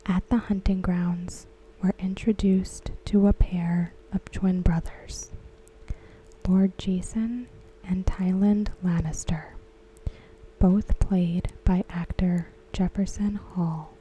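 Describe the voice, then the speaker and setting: A woman whispering softly and without voice, unhurried and very slow. Her tone is deeply mellow and dark, and a faint hiss sits under the recording. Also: poor audio. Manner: soft unvoiced whisper, slow and even. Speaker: ASMR creator. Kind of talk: whispered ASMR recap.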